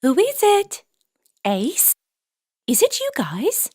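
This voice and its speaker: Cartoon princess: extremely high, girlish, sweet and trusting, unhurried, for a children's fairy tale. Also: huge pitch swings, darkish tone, no room sound, studio quality, heavily acted. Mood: wondering. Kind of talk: animated fairy tale voice acting.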